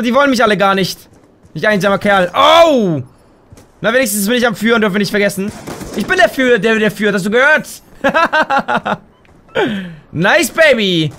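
A young man commentating his own gameplay on a headset mic, excitable and quick to shout, a little hoarse and tired. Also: sits high, slight background noise. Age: young adult. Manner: excitable, exclaims and shouts at the game. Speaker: gaming YouTuber. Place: home gaming room, indoors.

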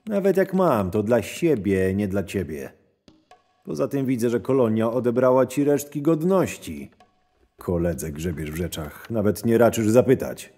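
A low, mellow-voiced convict guard acted by a man tells his story in an audio drama. He is curt, gruff and sardonic, quick to irritation, with music playing behind him. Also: animated, no room sound. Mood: irritated. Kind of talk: first-person audio drama narration with dialogue.

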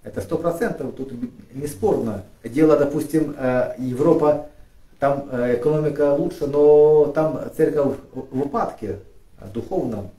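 A middle-aged man from Ukraine preaching a sermon, halting with fillers and restarts, rising and emphatic when he reads scripture aloud. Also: medium pitch, dark tone. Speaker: pastor. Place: church studio with flags and lit cross. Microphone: far camera. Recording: echoey room, voice from a distance.

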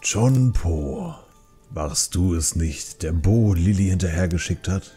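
Fantasy audiobook role: old panda brewer, male actor, husky, low, easygoing yet firm. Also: lively intonation, takes it slowly, warm tone, soft background music, heavily acted. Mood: calm, reproving. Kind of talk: acted fantasy audiobook dialogue.

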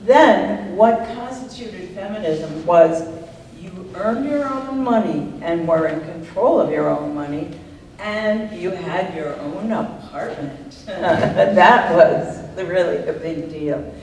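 Older woman panelist, slow and reflective, recorded from afar in a reverberant hall. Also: lowish voice, expressive, a little mellow. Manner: unhurried, reflective, laughs often. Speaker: panel guest, writer. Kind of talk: panel discussion answering audience. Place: art center talk room, audience present. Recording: echoey room, distant mic, faint noise.

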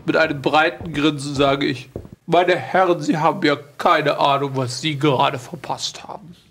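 A man's voice: fairly high pitch, steady tone, normal speed, vocal fry, fairly bright tone, breathy edge, soft background music, full character voice. A man playing a foppish, wealthy lord in an improvised audio drama, pompous, formal and condescending. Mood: smug. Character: smug, rich, foppish English lord.

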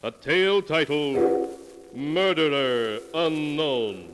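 A man's voice: fairly high pitch, animated, over loud music. A man playing a solemn, portentous storyteller in a 1940s radio mystery show. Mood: ominous. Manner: portentous, dramatic, deliberate. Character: ominous solemn storyteller reading from a sealed book. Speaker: announcer and narrator of a mystery anthology. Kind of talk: 1940s radio horror narration. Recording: reverberant, noisy 1940s radio recording.

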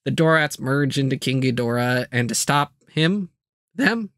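A man's voice: mid-range voice, lively intonation, a little slow, a bit bright, no room sound, studio quality. A man hosting a video channel, narrating a scripted overview with light, self-aware asides.

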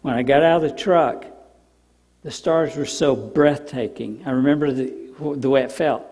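An older man, a pastor preaching a sermon in a church sanctuary, telling stories and dropping to soft, emphatic repeats. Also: medium pitch, moderate pace. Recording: long reverb of a church hall.

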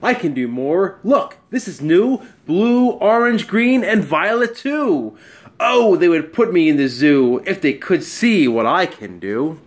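A man reading as an eager, high-pitched storybook animal. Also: expressive. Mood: proudly showing off. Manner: pleading, boastful, slow storybook delivery. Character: eager spotted animal showing off magic tricks. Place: small bright room at home.

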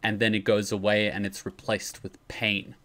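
A man's voice: fairly low pitch, monotone, moderate pace, a bit bright. Explanatory man on a livestream. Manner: explanatory, freely swearing. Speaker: streamer and lore commentator. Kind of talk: casual livestream lore discussion. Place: indoor room at a streaming setup.

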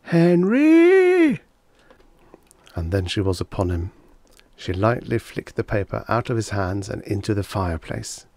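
A man narrating a story as an audiobook reader, measured and expressive. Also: fairly low pitch, gravelly, dry recording.

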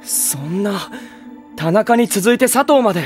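A man's voice: sits high, animated, measured pace, a little mellow. Hesitant teenage boy: mild, understated anime audio drama delivery over music. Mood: puzzled, mild.

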